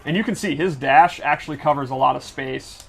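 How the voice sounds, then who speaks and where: A man's voice: medium pitch, fairly quick, gravelly, fairly bright tone. A man presenting a casual gameplay breakdown, explaining fighting-game moves in an enthusiastic, technical way.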